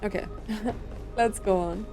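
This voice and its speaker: A woman's voice: medium pitch, fairly even intonation, slow pace. A woman streaming a video game with casual commentary, musing aloud in a puzzled way. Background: video game music.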